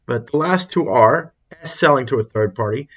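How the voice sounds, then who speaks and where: A man's voice: mid-range voice, animated, moderate pace, fairly bright tone, lo-fi sound. A man giving an informal explanatory lecture as an accounting instructor, repeating and rephrasing his points for emphasis. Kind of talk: explanatory lecture over a worked example.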